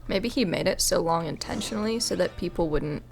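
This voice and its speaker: A woman's voice: lowish voice, a little fast, vocal fry. A woman giving casual, wry commentary as she plays through a video game, reacting jokingly to the story. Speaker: gaming video host. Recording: faint game music behind.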